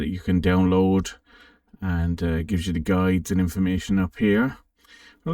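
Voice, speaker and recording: Deep, creaky-voiced man in a roomy space. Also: darkish tone, studio quality. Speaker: amateur radio channel host. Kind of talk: casual software walkthrough. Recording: roomy, distant microphone.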